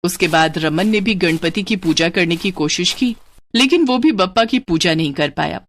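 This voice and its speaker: A woman's voice: fairly low pitch, expressive, moderate pace, a little hiss or hum. A woman narrating a scripted moral cartoon story in a dramatic storytelling style.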